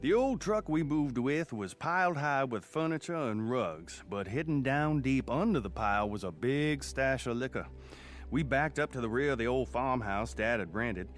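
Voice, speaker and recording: A man's voice: mid-range voice, lightly acted. An actor playing a folksy man narrating memories of his rural boyhood in an old-time radio drama. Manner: plain-spoken, reminiscing storyteller.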